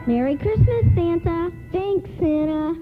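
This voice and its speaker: High-voiced earnest little child. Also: female voice, lively intonation, unhurried. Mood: earnest, hopeful. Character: sweet, polite, wide-eyed young child. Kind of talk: radio play acting. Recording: muffled radio broadcast under loud music.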